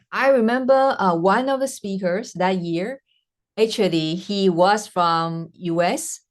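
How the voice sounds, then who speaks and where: Slow-paced Taiwanese-accented woman host. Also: on the low side. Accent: Taiwanese Mandarin. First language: Mandarin. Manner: earnest, enthusiastic, with frequent "uh" pauses. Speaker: podcast host. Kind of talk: personal storytelling monologue.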